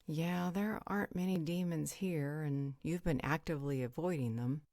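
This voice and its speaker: A worldly, supernatural female guardian voiced by a woman for an audio roleplay. Her voice sits low and is recorded close and dry, and she talks with blunt, teasing frankness. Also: normal speed, a little mellow, no echo. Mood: matter-of-fact. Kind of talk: scripted roleplay audio drama.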